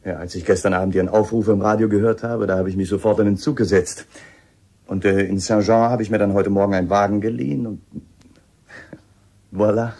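A shrewd inspector in a radio play. His voice is deep and mellow with a gravelly grain. He is polite, a little hesitant and probing. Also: fairly even intonation, a little fast. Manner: hesitant, probing, matter-of-fact. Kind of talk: radio drama acting.

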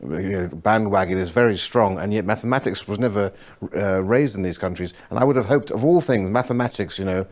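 A man on a studio panel, speaking in a quick, indignant way. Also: lowish voice. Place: small studio set with armchairs.

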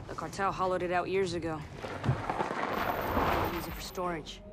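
A woman's voice: on the low side, fairly even intonation, moderate pace, close to an everyday voice. An actress playing a blunt, defiant young woman guiding soldiers in a video game, out for revenge. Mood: grim, wary. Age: young adult. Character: tough defiant young woman, vengeful guerrilla guide. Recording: noisy game effects behind.

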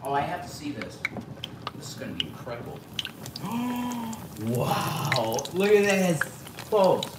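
A man's voice: on the high side, wildly swooping pitch, slow pace. Gushing man, distant in echoey kitchen. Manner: gushing, exclamatory. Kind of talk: enthusiastic casual commentary. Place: home kitchen, indoors. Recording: roomy, distant and noisy kitchen.

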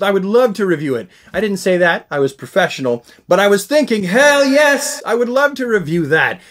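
Middle-aged man, slightly high voice, very animated intonation, casual enthusiastic vlog host, excitable delivery. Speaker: unboxing review host. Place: small indoor room lined with shelves of collectibles.

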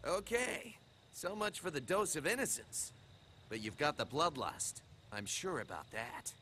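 A man's voice: fairly high pitch, big pitch swings, measured pace, bright, forceful tone, a little hiss or hum, full character voice. A man voicing a cocky, bemused punk assassin hero in a video game, with a wry, casual delivery. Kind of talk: video game cutscene acting. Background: music.

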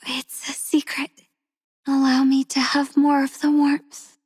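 A soft-spoken, tender game heroine. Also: female voice, high voice, dry recording, studio quality. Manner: soft, tender, with frequent breathy whispers. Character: gentle, devoted young woman soldier, soft-spoken and tender. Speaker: voice actress for a game character. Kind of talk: game character voice lines. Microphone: close studio mic.

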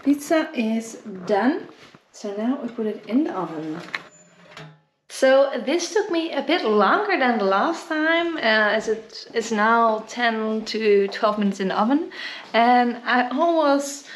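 A woman's voice: on the high side, expressive, slow pace. Giggly young woman in echoey kitchen. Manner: chatty, giggly, self-deprecating. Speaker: vlogger. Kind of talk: casual food-tasting vlog. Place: home kitchen. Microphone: camera at arm's length. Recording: echoey room.

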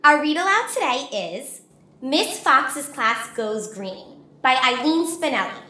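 A woman in her thirties hosting a children's read-aloud, narrating expressively with clear, deliberate delivery. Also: sits high, big pitch swings, bright, forceful tone.

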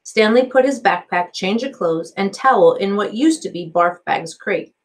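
A woman's voice: medium pitch, animated, moderate pace. A middle-aged woman reading a storybook aloud as a teacher, carefully, in a small office. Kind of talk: storybook narration, read aloud. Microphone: computer microphone on a video call.

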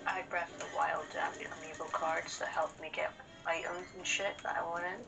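Female gaming co-host, low gravelly voice, blunt casual talk, video game music underneath. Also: little pitch movement, moderate pace, low-quality recording. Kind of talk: casual chat over gameplay.

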